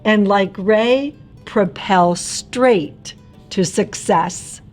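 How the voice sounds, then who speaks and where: A woman narrating a rhyming picture book for young children in an expressive, theatrical and emphatic way. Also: mid-range voice, extremely slow, faint music behind.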